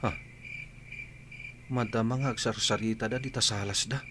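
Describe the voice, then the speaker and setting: Shy young farmer suitor played by a man, lowish voice, Ilocano accent, polite, noisy outdoor background with insects. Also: fairly even intonation, normal speed, lightly acted. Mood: nervous, embarrassed. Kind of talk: Ilocano radio comedy drama acting.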